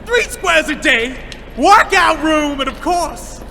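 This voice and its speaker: Cackly gravelly bum, super high and slow, taunting, noisy street. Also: male voice, expressive, pushed and bright, heavily acted. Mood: gloating, defiant. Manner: drawn-out, gloating. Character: gleefully defiant down-and-out bum taunting police. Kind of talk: audio drama acting.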